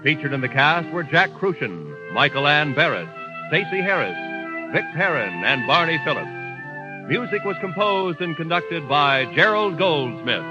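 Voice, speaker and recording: Formal announcer over loud music on old radio. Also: male voice, fairly high pitch, very animated, normal speed, highs cut off. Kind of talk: closing credits announcement.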